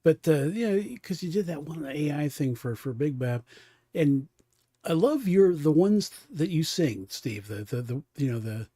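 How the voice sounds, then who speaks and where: Animated guy tripping over his words, super dry sound, no room at all. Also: a little high, breathy edge, no room sound. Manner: enthusiastic, halting with repeated fillers. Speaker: co-host. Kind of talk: casual podcast chat.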